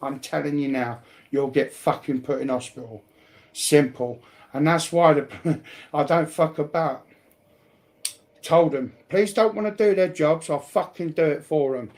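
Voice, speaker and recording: A man's voice: a little high, a little slow, a little mellow. A man chatting casually in a blunt, combative, slangy way with heavy swearing. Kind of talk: casual, heavily sweary chat.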